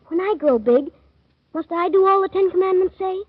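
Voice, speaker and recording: A woman voicing an earnest, curious little boy in a 1930s radio drama, with a high, eager questioning manner, heard on an old broadcast recording. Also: normal speed, no room sound, narrow, tinny audio.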